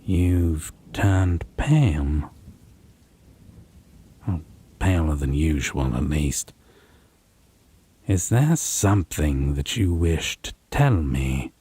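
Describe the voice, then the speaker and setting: A man playing a possessive, wounded vampire in a roleplay audio drama, speaking with mocking refinement, theatrical phrasing and a breathy, husky voice. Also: extremely low pitch, expressive, extremely slow, long pauses, warm tone, exaggerated voice. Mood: sardonic, accusing. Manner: pedantic, sardonic, theatrical, lingering phrasing.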